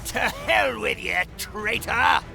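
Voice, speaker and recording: Shrill, gravelly pirate crewman. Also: slow pace, over music, full character voice. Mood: defiant. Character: hostile pirate crewman, alarmed and combative. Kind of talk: cinematic audio roleplay acting.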